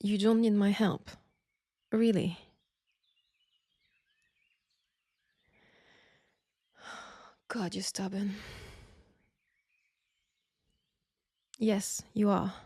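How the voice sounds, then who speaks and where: A woman with a French accent playing a caring but firm wartime army nurse in an intimate roleplay, speaking softly and breathily in a coaxing way. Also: medium pitch, steady tone, takes it slowly, no room sound, studio quality. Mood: firm, exasperated. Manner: soft, breathy, gently coaxing. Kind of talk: intimate roleplay audio acting.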